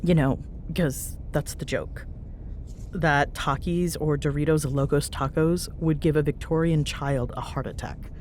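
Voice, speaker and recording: A woman's voice: steady tone, a little slow, darkish tone. A woman playing a teasing, bossy but fond young ghost hunter in an intimate ASMR roleplay, bantering sarcastically in a casual, murmuring way. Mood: amused, teasing. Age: young adult. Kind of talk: ASMR audio roleplay, intimate one-sided chat. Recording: clear background noise with low rumble.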